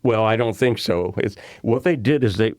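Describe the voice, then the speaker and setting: A man chatting on a community radio show, telling old film stories in a slow, deliberate way. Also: fairly low pitch, darkish tone, no room sound, clean studio sound. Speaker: radio show host or guest. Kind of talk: casual radio chat.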